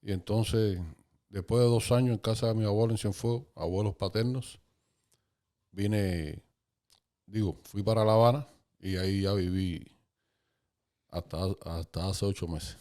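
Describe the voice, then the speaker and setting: A Cuban man in his fifties, a podcast guest calmly recalling his life story in casual conversation. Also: fairly low pitch. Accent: Cuban Spanish. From Cuba. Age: 50s. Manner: calm, unhurried, recalling dates and places.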